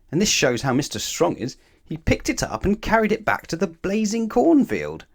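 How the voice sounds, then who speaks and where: A man reading a children's story aloud in an expressive, animated way, with emphatic phrasing aimed at the listener. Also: a little high, creaky. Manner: animated, asks the listener questions, emphatic repetition.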